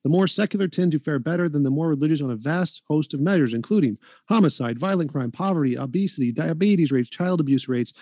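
A man arguing in a heated live debate, pressing his points insistently and talking over his opponent. His voice sits a bit high but has a mellow, dark color, and the sound is dry and close. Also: lively intonation, no room sound. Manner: insistent, rapid-fire, talks over others.

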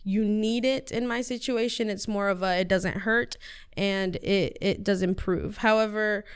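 A woman's voice: medium pitch, fairly even intonation, a little slow, clear, resonant tone. A teenage girl whose first language is Spanish, answering interview questions earnestly, with many fillers and restarts. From United States. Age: teens. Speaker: high school student guest.

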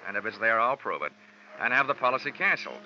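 A man's voice: lowish voice, sing-song, fast, sharp tone, full character voice. A man acting a wry, hard-boiled insurance investigator in 1940s radio detective style, with clipped wisecracking delivery. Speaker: lead actor and narrator. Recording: dull vintage radio recording, music behind.

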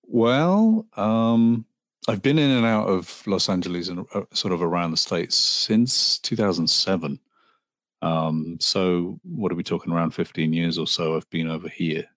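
A man in his forties from Liverpool with a Liverpool accent, a guest telling folklore stories in a relaxed, rambling way with ums and restarts. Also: sits low, measured pace, dry recording. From Liverpool, England. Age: 40s. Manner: storytelling, with frequent ums and restarts. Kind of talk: conversational interview.

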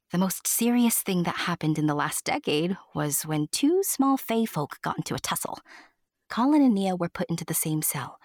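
Low-voiced woman narrating a fairy tale. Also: darkish tone, no echo, studio quality. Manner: storyteller, addressing the listener. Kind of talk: scripted storybook narration. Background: music.

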